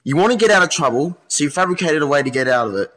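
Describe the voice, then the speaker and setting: A man's voice: a little high, expressive, rapid-fire, fairly bright tone. A man playing a hardened, skeptical police interrogator in a modern radio play, speaking bluntly and insistently. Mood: accusing.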